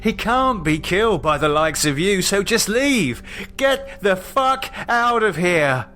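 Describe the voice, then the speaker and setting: A man's voice: high voice, animated, slow, pushed and bright, pitched above the performer's own voice, full character voice. A man reading an audiobook, voicing a frightened vampire hunter who urgently argues with himself. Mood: panicked warning. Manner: urgent, agitated muttering to himself.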